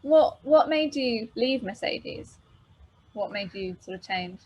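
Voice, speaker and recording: A woman's voice: a little high, animated, takes it slowly, muffled recording. A woman asking casual, friendly-worded questions as an interviewer.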